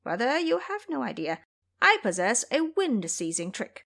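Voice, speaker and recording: A woman voicing a bold, cocky male monkey hero with a brisk, teasing manner in an audio drama. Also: on the high side, very animated, creaky, fairly bright tone, exaggerated voice. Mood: confident.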